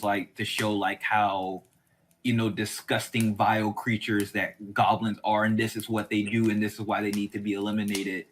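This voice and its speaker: A man's voice: on the low side, fairly bright tone, slight background noise. A man hosting a casual chat about a TV series, talking in an informal, rambling, conversational way. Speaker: host.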